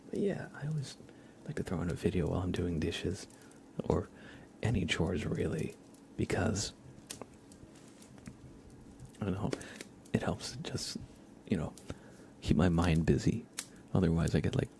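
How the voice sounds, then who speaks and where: A man hosts an ASMR livestream, chatting casually and gently in a hushed whisper, close to the microphone. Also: low-pitched, creaky, a little hiss or hum. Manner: casual, friendly, thanking viewers. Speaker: livestream host. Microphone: close microphone with compression and limiter.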